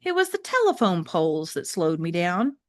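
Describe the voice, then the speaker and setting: A woman's voice: fairly low pitch, normal speed, no echo, studio quality. Woman reading as a wistful, food-loving shelter dog. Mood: wry, puzzled. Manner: expressive, conversational storytelling with rhetorical questions. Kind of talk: first-person children's novel read aloud.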